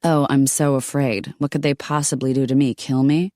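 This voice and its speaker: A woman's voice: rumbling low, steady tone, normal speed, dark tone, dry recording, studio quality. Woman doing a wry sarcastic young woman. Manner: sarcastic, casual.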